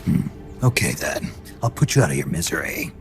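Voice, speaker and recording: An actor playing a gruff, stoic middle-aged soldier in a video game cutscene, terse and fatherly, with a husky growl. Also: big pitch swings, full character voice. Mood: stern, resolute. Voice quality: deep, gravelly, husky growl. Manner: terse, measured, low-key delivery. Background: music.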